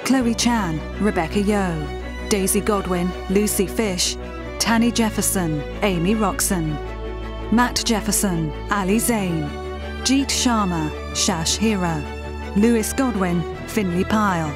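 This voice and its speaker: A woman's voice: medium pitch, slow. A woman reading scripted closing credits as a radio drama announcer. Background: music.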